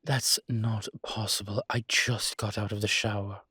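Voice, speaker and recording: A man playing a weary, guarded winged demon in an intimate roleplay audio drama, speaking in a husky, breathy voice with dry, halting phrasing. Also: on the low side, flat delivery, pushed and bright, no room sound, exaggerated voice. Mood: bemused, weary.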